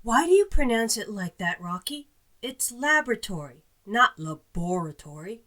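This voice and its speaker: A woman voicing a stitched-together construct girl who answers in a dry, deadpan way. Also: medium pitch, moderate pace.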